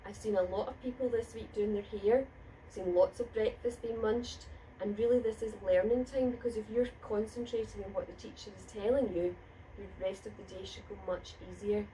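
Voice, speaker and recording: A woman teacher with a mellow, soft-edged voice gently reminds pupils how to behave. She sounds set back from the microphone, with a faint background noise. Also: mid-range voice, fairly even intonation, fairly quick, far from the mic, low-quality recording. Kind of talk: assembly address to pupils.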